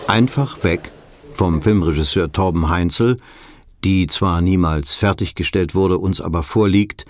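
An actor playing a sober mock-documentary narrator, reading scripted text in a measured, factual, scholarly way. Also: male voice, deep voice.

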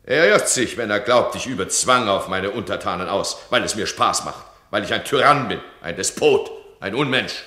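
An actor playing a domineering duke who scolds his subject in a radio play, his voice swinging between cold and loud. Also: on the low side, lively intonation, normal speed, hardly any pauses. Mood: imperious. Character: domineering stern duke, absolute ruler. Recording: roomy radio drama studio.

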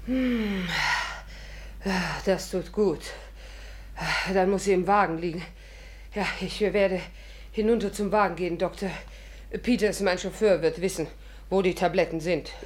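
A woman's voice: fairly low pitch, measured pace, pauses often, darkish tone, lightly acted. A woman playing a haughty, theatrical elderly stage actress in a radio drama, with a grand, dismissive manner. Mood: weak, flustered. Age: older. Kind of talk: 1950s German radio drama acting.

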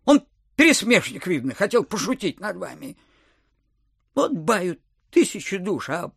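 Grumbly old miser landowner, high husky voice that swoops around, always complaining, audio play. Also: male voice, sing-song, a little slow, fairly bright tone, no echo, clean studio sound, exaggerated voice. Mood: suspicious, plaintive. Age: 60s. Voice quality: husky, throat-clearing old man's voice. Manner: querulous, complaining, rambling. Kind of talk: theatrical audio drama acting.

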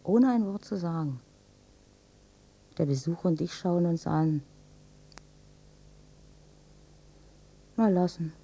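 Husky, resigned older housewife. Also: female voice, lowish voice, lively intonation, unhurried, dark tone, full character voice. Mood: wry, resigned. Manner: dry, matter-of-fact, resigned. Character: resigned older housewife and mother, drily mocking her husband. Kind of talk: German radio drama acting.

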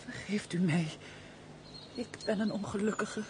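A woman's voice: mid-range voice, unhurried, close to an everyday voice. A woman acting a sorrowful, penitent baroness in a Dutch radio play, in an anguished, sighing, theatrical manner. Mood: breathy anguish. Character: sorrowful, penitent, guilt-ridden fallen baroness. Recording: noisy old radio play recording.

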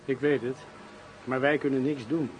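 Guy doing a careful, curt inspector, medium pitch, old radio drama, slightly noisy. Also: steady tone, normal speed, lightly acted. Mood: guarded. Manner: formal, curt. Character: formal, cautious Dutch police inspector. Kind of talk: Dutch radio play acting. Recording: faint noise, radio play recording.

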